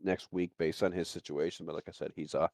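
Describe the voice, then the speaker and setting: Low-voiced man rambling on a podcast. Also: flat delivery, fast, vocal fry, darkish tone, no room sound, clean studio sound. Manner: rambling, repeats phrases. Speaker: podcast host. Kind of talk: casual podcast chat.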